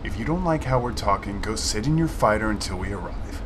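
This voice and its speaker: A man's voice: fairly low pitch, expressive, fairly quick, background noise, lightly acted. A man playing a bitter, defiant human fighter pilot, sullen and sarcastic, in a sci-fi audio drama. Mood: irritated.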